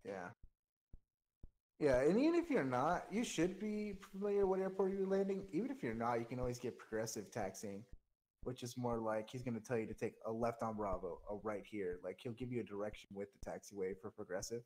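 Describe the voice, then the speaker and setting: A man's voice: mid-range voice, animated, brisk, darkish tone, rough recording. A man casually explaining things like an informal coach, walking through examples. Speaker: air traffic controller coaching a flight sim player.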